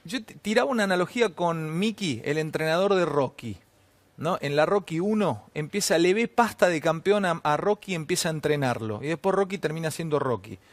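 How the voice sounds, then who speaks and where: A middle-aged man with an Argentine accent hosting a TV interview, talking quickly and cutting in with questions. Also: on the high side, expressive, no echo. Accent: Argentine Spanish. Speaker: TV host.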